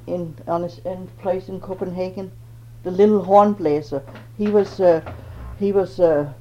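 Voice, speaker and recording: A woman's voice: fairly low pitch, mellow. An older woman from Denmark, recalling her family in an oral history interview and searching for words as she goes. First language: Danish. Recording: dull old tape with faint noise.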